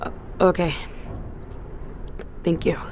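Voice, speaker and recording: A woman playing a dazed young colonist fresh out of cryosleep, halting and hesitant, in a naturalistic audio drama. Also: darkish tone, slight background noise, natural voice. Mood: subdued.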